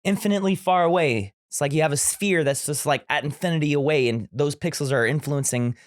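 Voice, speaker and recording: A man's voice: fairly high pitch, brisk, no echo. Man explaining tech, dry close mic. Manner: technical, rambling explainer with restarts. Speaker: podcast co-host. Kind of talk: casual studio chat.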